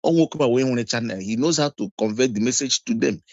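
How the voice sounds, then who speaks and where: A man's voice: medium pitch, fairly quick, darkish tone, dry recording, dull audio. A man calling in to a talk show, switching back and forth between Igbo and English. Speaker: caller.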